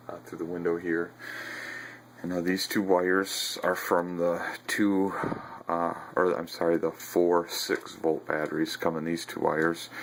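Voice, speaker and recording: A man with a very low, level voice talks through his battery setup while moving from garage to basement. He pauses often, fills gaps with uhs, and corrects himself as he goes. The camera mic catches a faint hiss behind him. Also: low-quality recording. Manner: halting, frequent ums and uhs, self-correcting. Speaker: video blogger showing his ham radio setup. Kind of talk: casual walkthrough narration.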